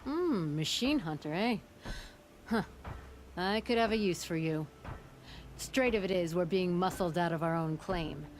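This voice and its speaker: Woman playing a brash, low-voiced forge boss. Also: unhurried, long pauses, slight background noise, lightly acted. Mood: wry, proud. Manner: blunt, wry, folksy boasting. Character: brash, proud older forge boss woman, gruffly humorous. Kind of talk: video game character acting. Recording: music under the voice.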